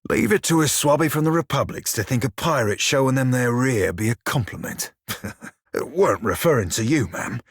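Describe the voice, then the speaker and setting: An actor voicing a short, crude, wry pirate shiphunter for a video game, with a husky, rough-edged voice and a pirate dialect full of salty sailor slang. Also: male voice, mid-range voice, expressive, normal speed, no room sound, clean studio sound. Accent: pirate-style dialect. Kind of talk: video game character dialogue and barks.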